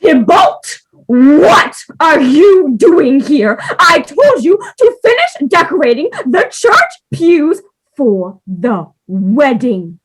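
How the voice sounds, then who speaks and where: A woman's voice: high voice, very animated, slow pace, full character voice, low-quality recording. A woman in a comedic play reading, playing a theatrical, easily wounded, bickering spouse with a whiny delivery. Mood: flustered. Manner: melodramatic, whiny.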